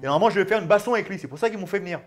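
A man's voice: high voice, rapid-fire. A man in his forties, a guest chatting casually and animatedly in a small studio lounge, on a lapel mic. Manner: animated, gestures a lot while talking. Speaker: guest, former fighter turned actor and stuntman. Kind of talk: casual interview chat.

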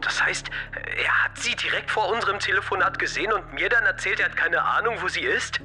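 A man's voice: high-pitched, fairly quick, sharp tone, faint music behind. A young man playing an anxious teenage schoolboy hacker in a German audio drama, talking in casual youth slang. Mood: tense, insistent. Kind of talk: naturalistic audio drama phone dialogue.

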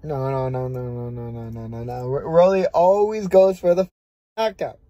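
A man's voice: mid-range voice, normal speed, warm tone, no echo, highs cut off. A young man from the United States, chatting brashly on a phone livestream from a dim room at night, repeating himself and swearing freely. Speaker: boxer on a social media livestream. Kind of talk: casual livestream chat. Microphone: phone held close.

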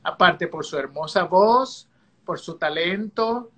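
A man's voice: sits high, slow pace, pushed and bright. A middle-aged man co-hosting an interview show, chatting playfully with a guest and thanking a sponsor over a video call. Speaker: co-host.

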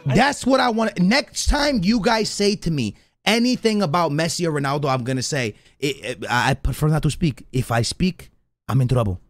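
A man's voice: fairly high pitch, expressive, moderate pace. A man in his thirties doing slangy, casual reaction commentary as a streamer, given to banter and emphatic repetition. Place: small indoor streaming room. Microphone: close studio microphone on a boom arm.

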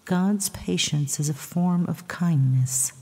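A woman softly narrating a scripted guided sleep meditation with scripture readings, in a hushed, breathy, soothing and measured voice. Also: lowish voice. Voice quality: soft, breathy, husky. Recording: long reverb, soft music behind.

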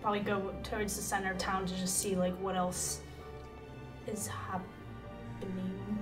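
A woman's voice: mid-range voice, little pitch movement, unhurried, over music. A young woman playing a tabletop roleplaying game, asking casual questions of the game master. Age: young adult. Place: indoor studio set, seated at a table.

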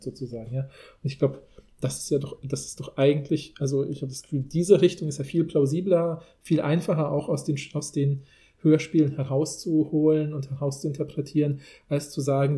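A man's voice: medium pitch, mellow. A man hosting a conversational podcast discussion, wordy and searching, often rephrasing himself as he goes. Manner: searching, self-correcting, wordy. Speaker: podcast host.

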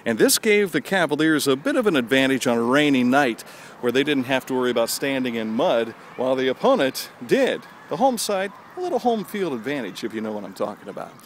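A man, chatting through a handheld walking tour of an outdoor high school stadium, folksy and proud of his hometown. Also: very animated, a little fast, slight background noise. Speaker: local stadium tour guide. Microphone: handheld camera at arm's length.

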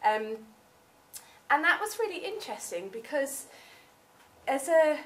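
A woman's voice: high voice, sing-song, measured pace. A young woman with a southern English accent giving a chatty conference talk in a seminar room, full of ums, recorded from a far camera. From southern England. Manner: chatty, many ums, gestures while speaking. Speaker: academic researcher presenting. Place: seminar room with projector screen. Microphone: far camera, room audio.

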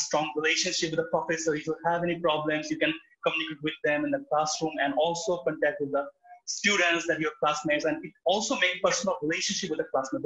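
A young man from Bangladesh with a Bangladeshi accent, speaking earnestly and haltingly with many restarts on a video call from a small room, sharing his student experience. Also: a little high, sharp tone. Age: young adult. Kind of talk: webinar talk. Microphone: laptop webcam on a video call. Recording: echoey, dull video call audio.

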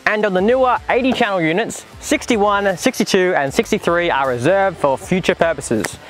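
A man's voice: sits high, moderate pace, a bit bright, soft background music. A man in his twenties with an Australian accent, casually explaining to camera as a vlog host outdoors. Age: 20s. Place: outdoors in dry bush clearing beside a 4WD.

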